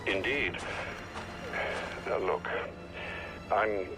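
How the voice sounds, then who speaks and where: An actor playing a loyal older British butler in a video game, formal, earnest and protective, admonishing with worry. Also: male voice, on the low side, very slow, over music, poor audio. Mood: apologetic, cautioning. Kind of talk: video game cutscene acting. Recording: loud background noise.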